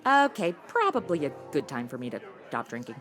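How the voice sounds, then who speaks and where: A woman's voice: low-pitched, huge pitch swings, brisk, fairly bright tone, faint music behind, heavily acted. An actress playing a flippant, self-absorbed modern young woman in a comedy audio drama, breezy, sassy and slangy. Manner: sassy, breezy, slangy, valley-girl phrasing.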